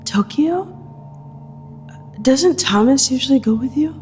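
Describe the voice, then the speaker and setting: A woman playing an anxious, deferential young female assistant in an audio drama, with a breathless, shaky voice and hesitant, halting speech. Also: high voice, lively intonation, darkish tone, soft background music, voice pushed up. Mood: startled, confused.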